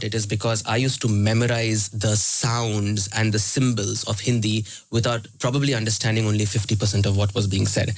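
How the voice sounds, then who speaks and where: Young Indian man guest, lowish voice, reflective and halting, dry recording. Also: dry recording. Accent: Indian English. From South India (Chennai). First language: Tamil. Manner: reflective, with frequent fillers and restarts. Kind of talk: conversational interview.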